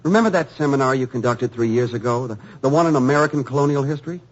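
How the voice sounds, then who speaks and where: A man's voice: mid-range voice, fast, natural voice. An actor playing an eager, humble young male author in a 1950s radio drama, earnestly stammering as he speaks. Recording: dull lo-fi old radio broadcast.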